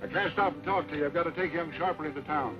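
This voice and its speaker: An actor playing a blunt, protective rancher in an old western radio drama, talking quickly. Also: male voice, fairly high pitch, loud music behind the voice, close to an everyday voice. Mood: concerned. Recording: dull old radio recording.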